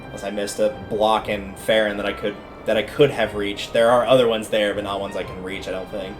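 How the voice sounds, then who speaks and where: A man's voice: on the low side, level tone, brisk. A man livestreaming a video game, giving casual commentary and thinking aloud in a conversational way as he plays. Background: video game music.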